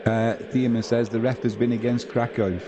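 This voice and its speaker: A man from England giving relaxed play-by-play of a football match and chatting with viewers on a live stream. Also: medium pitch, normal speed, background noise. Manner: conversational play-by-play, reading out viewer comments. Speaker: watch-along commentator.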